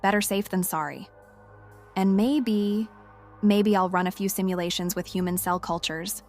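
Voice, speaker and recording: Female scientist played by an actress, audio-drama diary: intense, confiding, hesitant, fixated yet scared, soft music bed. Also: mid-range voice, fairly even intonation, normal speed, close to an everyday voice. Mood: uneasy. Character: obsessed, frightened woman scientist keeping a lab log. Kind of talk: dramatic monologue as spoken diary. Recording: music under the voice.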